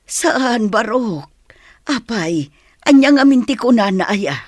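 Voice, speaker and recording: A woman's voice: a little high, lively intonation, slow, a little mellow, no echo, clean studio sound. A woman acting an anxious middle-aged mother in an audio drama, with an Ilocano accent. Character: anxious mother of a grown son, fretful.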